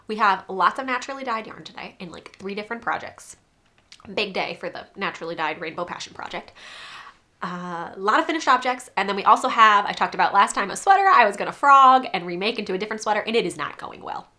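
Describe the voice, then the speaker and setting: A chatty woman talks casually to her viewers about yarn and patterns. Her voice is bright, though a little congested from a cold. She rambles easily and laughs often. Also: medium pitch, expressive, fast. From New England. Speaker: crochet designer and podcast host. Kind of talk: casual chat to camera.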